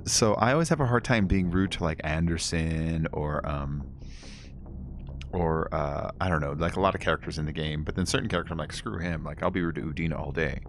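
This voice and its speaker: A young man giving relaxed, deliberately quiet commentary while streaming a game. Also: rumbling low, a little hiss or hum. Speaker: gaming streamer. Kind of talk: casual let's-play commentary. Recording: game music in background.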